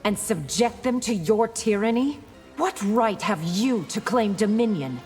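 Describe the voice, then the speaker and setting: A woman's voice: medium pitch, expressive, measured pace, a little mellow, in a large hall, soft background music, natural voice. A woman voicing a defiant warrior princess in a video game, formal and indignant.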